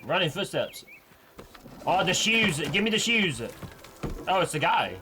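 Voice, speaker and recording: A man's voice: high voice, very animated, unhurried, pushed and bright, slight background noise. A man giving casual live reactions as a gaming streamer, blunt, offhand and slangy.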